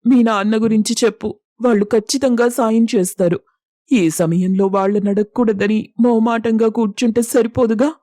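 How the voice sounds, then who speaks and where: A woman's voice: fairly high pitch, fairly even intonation, moderate pace, warm tone, dry recording, studio quality, lightly acted. A woman voicing an anxious middle-aged village mother in a moral story, pressing and pleading with worry. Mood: worried urging. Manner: worried, urgent pleading. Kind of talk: dramatised moral story voice acting.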